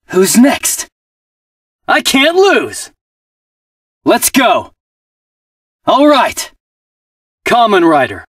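A cocky, determined armored hero of a fighting game, throws out short punchy battle barks. His pitch runs high and swings wildly from line to line. The phrases come sparse and slow, with space between them. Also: no echo, studio quality, recorded from a distance, heavily acted. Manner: short punchy exclamations and combat shouts. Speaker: video game voice actor.

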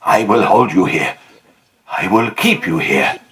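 An actor playing a possessive, vengeful animatronic elephant in a horror game, with a grave, menacing delivery. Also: male voice, low voice, expressive, unhurried, a bit bright. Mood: cold menace. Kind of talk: video game villain voice acting.

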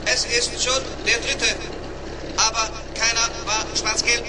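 A man's voice: extremely high pitch, little pitch movement, slow pace, background noise. A man playing a small, earnest steel robot who explains things precisely and literally in a children's radio play. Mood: matter-of-fact.

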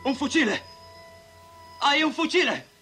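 A man playing a panicked captive in a dramatic film dub, shouting, begging and cursing at his companion. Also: extremely high pitch, big pitch swings, unhurried, heavily acted. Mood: frantic pleading. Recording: loud film music underneath.

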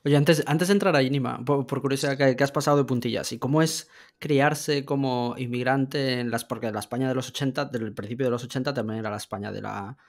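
A man in his thirties hosts a podcast over a video call, speaking into a studio mic from a small room. His voice sits at a middle pitch. He asks questions in a curious, conversational way. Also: steady tone, fairly quick. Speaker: podcast host. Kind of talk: casual interview. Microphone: studio mic on a stand, video call.

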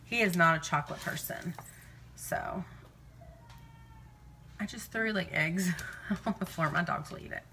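A woman's voice: lowish voice, a little slow, long pauses, pushed and bright, slight background noise. A woman in her thirties chatting casually and digressively to live viewers as she records in her home kitchen. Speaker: live-streaming vlogger and meal-prep host.

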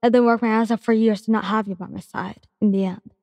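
A woman's voice: on the high side, steady tone, quick pace, darkish tone, dry recording, studio quality, in a large hall, lightly acted. Woman voicing a desperate young male hero. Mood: pleading. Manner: pleading, emotional.

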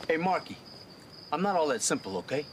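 An actor playing a naive, goofy aspiring actor of thirty in a film comedy. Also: male voice, fairly high pitch, very animated, a little fast, noisy background. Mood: easygoing. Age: 30s. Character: simple-minded, laid-back male aspiring actor of thirty.

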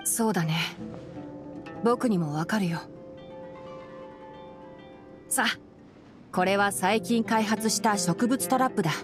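A woman's voice: medium pitch, normal speed, over music, close to an everyday voice. A woman voicing a cool, clever young male forest ranger in an anime game, speaking in a dry, matter-of-fact way. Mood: understanding, brisk. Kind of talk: Japanese anime game voice acting.

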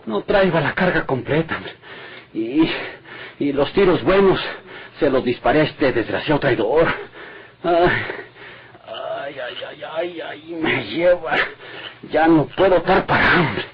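An excitable Mexican bandit, swooping high. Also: male voice. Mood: groaning, desperate. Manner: exclamatory, repeating words, drawn-out groaning. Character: wounded, cursing, cowardly old-style Mexican ranch bandit. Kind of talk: old Mexican radionovela acting. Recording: noisy, muffled vintage radio recording.

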